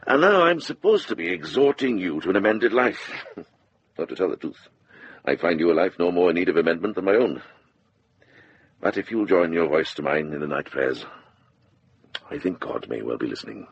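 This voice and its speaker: Deep, husky older monk. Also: male voice, lively intonation, moderate pace, pauses often, highs cut off, heavily acted. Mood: wry, warm. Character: shrewd, kindly older Welsh monk. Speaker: actor. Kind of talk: radio drama acting.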